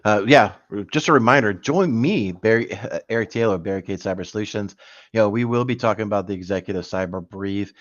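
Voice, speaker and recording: A man's voice: fairly low pitch, fairly quick, dry recording, dull audio. A man guest-hosting a live cyber news stream, chatting casually with many fillers and restarts. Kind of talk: casual livestream chat.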